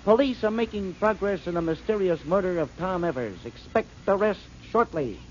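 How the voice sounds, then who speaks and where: A cheeky newspaper reporter who cracks jokes while fishing for a scoop. His voice sits high for a man. The old radio recording sounds dull. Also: animated, moderate pace, a little hiss or hum. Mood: wisecracking. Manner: wisecracking. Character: Jerry, a wisecracking young male newspaper reporter. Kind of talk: 1940s radio acting.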